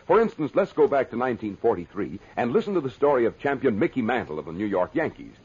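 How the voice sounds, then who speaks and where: An American male announcer delivers a vintage radio ad with huge pitch swings and a very rough, husky tone. He sells in an upbeat, folksy way on a dull old recording. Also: very animated, fast talker, a little mellow. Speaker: commercial announcer. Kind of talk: 1950s radio advertisement read.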